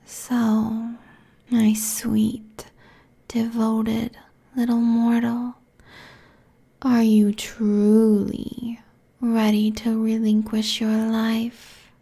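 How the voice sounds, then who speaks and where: A woman plays a merciless succubus queen in an intimate ASMR roleplay. Her voice is husky and dark, drawn out very slowly with a creaky edge. She sounds sultry and commanding, right up at the microphone. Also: fairly high pitch, monotone, heavily acted. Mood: menacing, seductive. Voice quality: breathy, whispery, husky. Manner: slow, commanding, sultry, deliberate. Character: seductive, merciless succubus demon queen draining mortal souls. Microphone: close binaural microphone.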